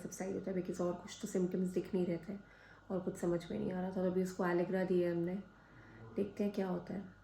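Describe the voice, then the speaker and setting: A woman, chatting casually to her vlog audience about her family and day. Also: medium pitch. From India. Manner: chatty, mixes in English phrases. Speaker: vlogger.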